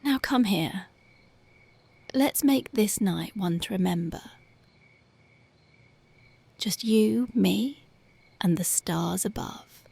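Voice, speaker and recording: A woman voicing a tender, dreamy young punk girlfriend in an ASMR roleplay, speaking softly and often slipping into whispers. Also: medium pitch, takes it slowly, gravelly, long pauses, husky, dry recording, heavily acted. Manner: soft, often drifting into whispers. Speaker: ASMR voice actress.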